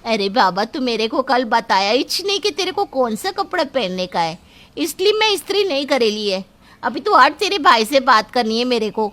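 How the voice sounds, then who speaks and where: Woman playing outspoken working-class maid, complaining, highish voice, story character. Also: very animated, moderate pace, pushed and bright. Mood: defensive. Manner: colloquial, complaining, streetwise slangy talk.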